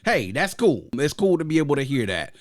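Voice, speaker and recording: A man hosts a casual music podcast in a measured, diplomatic voice. His sentences often trail off softly. The sound is dry and close, with no room around it. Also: a little high, very animated, no echo. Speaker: podcast host. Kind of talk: casual music review chat.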